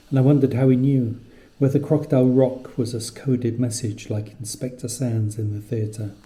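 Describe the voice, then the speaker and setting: A man's voice: lowish voice, warm tone, roomy sound. A man reading scripted literary narration as the writer and narrator of a story.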